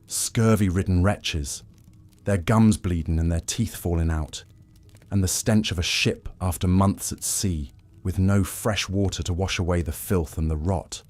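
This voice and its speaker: A man voicing a grizzled, world-weary old pirate with a rough, raspy voice, telling grim tales in sailor dialect for a story. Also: deep voice, fairly even intonation, a little slow, darkish tone, no room sound. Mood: grim warning.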